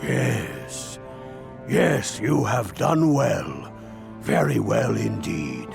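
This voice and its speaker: A man's voice: fairly low pitch, sing-song, very slow, vocal fry, heavily acted. An actor playing a solemn, dignified dwarf king who speaks in a stately, commanding way in a fantasy game. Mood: approving. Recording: game cinematic with music behind.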